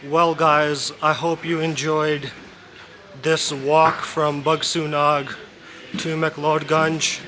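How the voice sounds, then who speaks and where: Slow-talking Canadian man vlogging outdoors. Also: fairly high pitch, background noise. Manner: relaxed, unhurried narration to camera. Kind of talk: casual walking vlog. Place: outdoors on narrow town streets.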